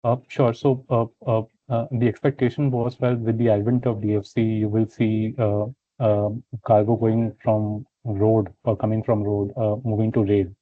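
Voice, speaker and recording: A man's voice: on the low side, little pitch movement, normal speed, dry recording. A man asking questions as a financial analyst on an earnings call, polite and hesitant, with roundabout phrasing. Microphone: phone line. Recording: thin phone line, very dry.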